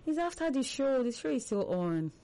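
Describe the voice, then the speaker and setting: A woman hosting a live radio phone-in, upbeat and quick to laugh, greeting callers over and over. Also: high-pitched, sing-song, a loud crowd. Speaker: radio call-in host.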